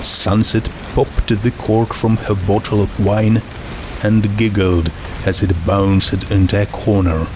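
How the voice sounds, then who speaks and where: A man's voice: fairly low pitch, level tone, slow pace, background noise. A man reading a story aloud as narrator, in a scripted storytelling style. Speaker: fan fiction narrator.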